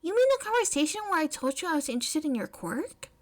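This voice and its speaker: A woman's voice: sits high, very animated, quick pace, pitched above the performer's own voice. A woman voicing a blunt, eager teenage girl inventor in love, bubbly and emphatic, in a fan fiction audio reading. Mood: puzzled, frank.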